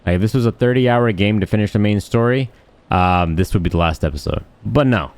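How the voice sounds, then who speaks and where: A man with a low voice hosts a gaming video. He talks off the cuff in a blunt, slangy style, rambling on with crude turns of phrase. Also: a little fast, a little mellow. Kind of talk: casual, unscripted gameplay commentary.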